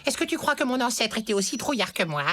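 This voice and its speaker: A woman's voice: on the high side, expressive, quick pace. A woman voicing a chatty, cowardly little cartoon squirrel with a cheeky, theatrical manner. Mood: proud, sheepish. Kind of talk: animated cartoon dialogue. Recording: loud music behind.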